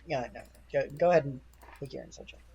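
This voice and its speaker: A man running a tabletop game as dungeon master, improvising narration haltingly and searching for his words. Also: medium pitch, gravelly, darkish tone.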